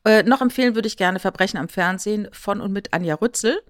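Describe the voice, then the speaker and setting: A woman's voice: medium pitch, quick pace. A woman co-hosting a podcast in casual chat, explaining things in a chatty, lightly ironic way. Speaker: podcast co-host.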